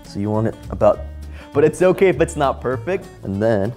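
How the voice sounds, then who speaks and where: A man's voice: mid-range voice, sing-song, fairly quick, darkish tone, faint music behind. A young American man from Los Angeles, explaining step by step how to make a family dish while chopping in a small home kitchen. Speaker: kimchi workshop teacher. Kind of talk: casual cooking demonstration.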